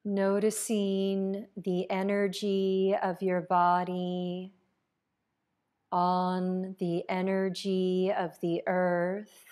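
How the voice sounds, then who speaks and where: A woman leading a guided meditation as a yoga nidra teacher, calm and unhurried, leaving long pauses between phrases. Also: fairly low pitch, smooth.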